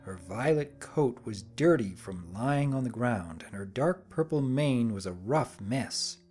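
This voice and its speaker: A man narrating a fantasy story in a deliberate, theatrical dramatic reading. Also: mid-range voice, animated, darkish tone, husky, faint music behind.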